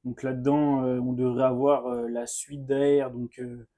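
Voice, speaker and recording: A man's voice: mid-range voice, little pitch movement, unhurried, mellow. A man co-hosting a casual card unboxing, playful and hesitant, laughing often. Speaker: shop co-host opening card packs.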